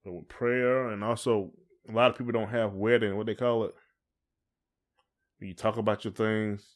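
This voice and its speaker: A man's voice: on the low side, fairly even intonation, normal speed. An American man chatting casually as a podcast co-host, opinionated and given to repeating his points. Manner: opinionated, repeats points, mimics others in acted quotes. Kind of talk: casual conversational chat between spouses.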